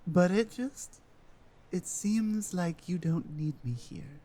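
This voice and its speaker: A man's voice: high-pitched, slow, mellow, heavily acted. A man playing an earnest, self-doubting Nordic guide and adventuring companion in a roleplay audio drama, musing hesitantly. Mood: self-doubting, grateful.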